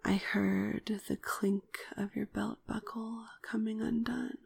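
A woman narrating a scripted, sensual first-person story in a hushed, breathy whisper, speaking in short deliberate phrases. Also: mid-range voice, no echo. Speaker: narrator of erotic audio story.

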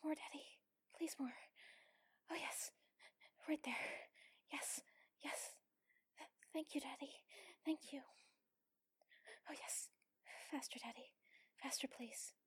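A woman's voice: high voice, fairly even intonation, no room sound. A woman playing a submissive, teasing warrior lover in an intimate adult audio roleplay, whispery and pleading. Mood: urgent, pleading. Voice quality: breathy, whispery. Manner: slow, pleading, coaxing.

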